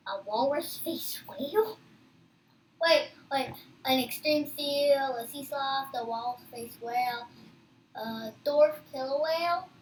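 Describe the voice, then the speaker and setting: High-pitched child reader, a bit far off. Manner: halting, stumbling over hard words. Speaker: child reading a comic book aloud. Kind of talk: read-aloud with casual asides.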